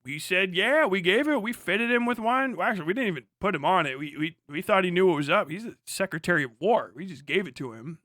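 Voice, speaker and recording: A man's voice: high voice, animated, fast talker, few pauses, bright, forceful tone, no room sound, studio quality. A man doing casual, joking reaction commentary as a live streamer, sarcastic and swearing freely.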